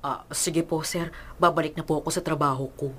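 An actress playing a deferential, meek older household helper in a radio drama. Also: female voice, low-pitched, fairly even intonation, a little fast, fairly bright tone.

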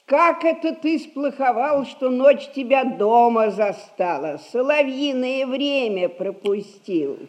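A woman's voice: sits high, animated, slow, few pauses, darkish tone. An actress playing a stern, sarcastic old merchant mother in a Russian stage comedy, with sugary needling and old-fashioned speech. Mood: sarcastic, reproachful. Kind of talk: 1960s Russian stage comedy acting for audio.

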